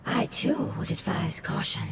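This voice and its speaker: A woman playing a cautious, earnest fantasy companion in a video game, speaking in a formal, measured, serious way. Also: fairly low pitch, lively intonation, reverberant, very noisy.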